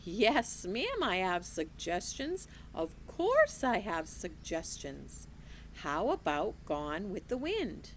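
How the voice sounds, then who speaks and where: A woman reading aloud as an elderly Southern librarian, proper and a little dramatic, with a gravelly edge. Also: on the low side, wildly swooping pitch, slow, slight background noise, exaggerated voice. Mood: genteel.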